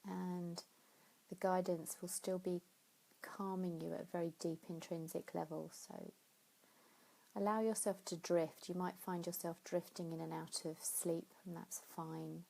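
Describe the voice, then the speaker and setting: A woman leading a guided sleep meditation in a soothing, unhurried way, pausing between phrases. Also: lowish voice, flat delivery, vocal fry, warm tone, poor audio. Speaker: yoga nidra meditation teacher.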